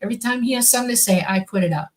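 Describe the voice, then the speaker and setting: A woman's voice: on the high side, racing. A woman in her sixties whose first language is Swedish, co-hosting a casual podcast and rambling with lots of fillers. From born on a military base, raised all over. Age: 60s. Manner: rambling, many fillers and restarts. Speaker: co-host, contactee.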